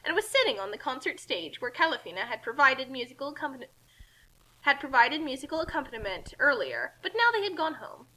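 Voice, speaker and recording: Female, high-pitched, bright, theatrical, animated, story narration, casual commentary. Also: brisk, low-quality recording. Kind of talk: dramatic fan fiction reading with casual commentary.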